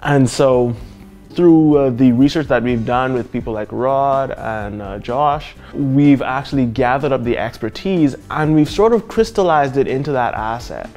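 A young man speaks with animated emphasis and occasional uhs. His voice sits in a mid range. He is on a lapel mic in a studio, with faint music underneath. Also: medium pitch, a little slow. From Bahamas. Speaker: programmer. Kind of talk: testimonial interview. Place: studio with dark backdrop.

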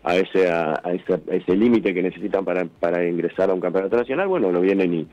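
Low-pitched Argentine man on a dull phone line. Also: fast talker. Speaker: gliding club representative, phone-in guest. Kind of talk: radio interview by phone. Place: phone call to a radio studio. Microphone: telephone.

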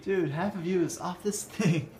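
A man's voice: high voice, moderate pace, darkish tone. A young man vlogging from an indoor cafe on a phone held in his hand, giggling and cooing to the animals around him. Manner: giggly, talks baby-talk to cats. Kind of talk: casual vlog. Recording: phone audio with room noise.

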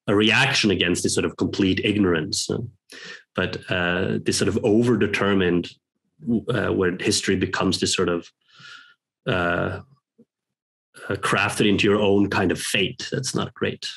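A man in his thirties speaks on a video call with a somewhat low voice. He thinks aloud slowly, hedging and restarting his sentences. He is in a book-lined home room, wearing headphones. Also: steady tone, gravelly, a bit bright. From Norway. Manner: hesitant, thoughtful, many pauses and restarts. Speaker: guest, professor. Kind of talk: reflective interview talk.

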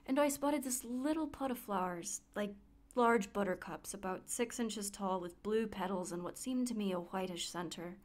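Radio play, actress as weary woman recovering her sight: candid, wry, confiding monologue, mid-pitched. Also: normal speed, lightly acted. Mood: wistful, reflective.

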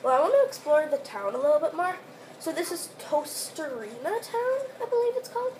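Excitable high-voiced woman, worn voice. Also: fairly quick, a little hiss or hum, low-quality recording. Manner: excitable, exclaims often. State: sick, losing voice. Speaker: gameplay commentator. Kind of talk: let's play commentary.

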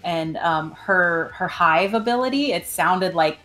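A woman in her thirties chats casually as co-host of a gaming show, recorded at home. Her voice is a little low, and faint music runs behind it. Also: expressive, a little slow, rough recording. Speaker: podcast co-host. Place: room at home, on a group call.

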